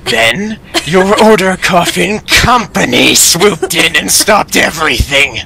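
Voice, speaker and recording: A man's voice: high voice, lively intonation, takes it slowly, creaky, bright, forceful tone, husky, heavily acted. A man playing a vengeful, unhinged vampire villain with a taunting, mock-polite menace in an audio drama. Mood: enraged. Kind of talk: dramatic audio fiction acting.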